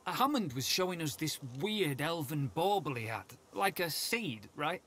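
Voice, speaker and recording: Rough soldier, shaken, high lively voice, music behind. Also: male voice, normal speed, full character voice. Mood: rattled. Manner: coarse, rattled. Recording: game audio under music.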